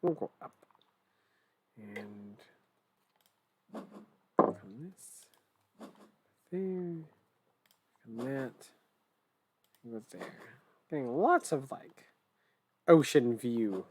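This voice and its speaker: Lively, wordy man joking dryly. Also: medium pitch, drawn-out words, pauses often, slight background noise. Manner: wordy, dry joking, teasing. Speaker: streamer co-host. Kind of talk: casual chat while playing an online board game. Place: home room, indoors.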